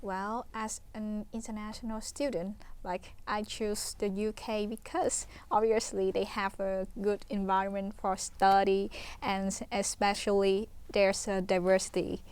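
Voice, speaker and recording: A young woman with a smooth, clear voice speaks on a student panel. She answers in a careful, measured way. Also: mid-range voice, little pitch movement, a little mellow. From Vietnam. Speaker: international postgraduate student panelist.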